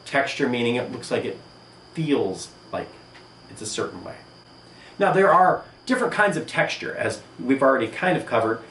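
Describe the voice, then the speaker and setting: A man teaching an art lesson on video, speaking emphatically in short phrases with pauses. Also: mid-range voice, sing-song, a bit bright. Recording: echoey room, distant mic, noisy.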